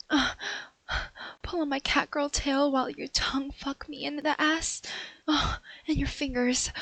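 A young woman voicing a cheeky catgirl cat burglar, sassy and teasing, with breathy meows, in an audio roleplay. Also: sits high, a bit bright, no room sound.

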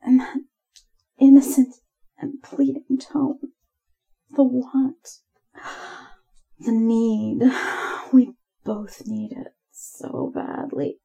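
A woman with a very slow, breathy delivery and a gravelly creak in her fairly high voice. She narrates intimate adult audio in a hushed, lingering way, often slipping into a whisper. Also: steady tone, poor audio.

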